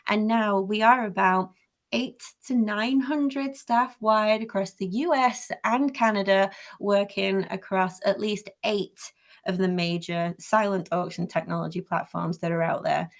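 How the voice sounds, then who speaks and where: A woman with a Manchester accent speaks over a video call, her voice sitting on the lower side. She presents a webinar in an earnest, careful way, catching and rephrasing her own words as she goes. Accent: Manchester English. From England. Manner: earnest, careful, self-correcting. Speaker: webinar presenter and company founder.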